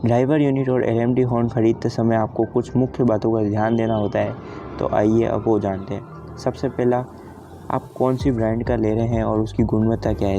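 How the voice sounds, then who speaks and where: A man narrates a technical explainer in a low, very even voice, going through it point by point. The recording is dull and lo-fi, with music clearly playing behind him. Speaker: presenter of an audio equipment explainer. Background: clear background music.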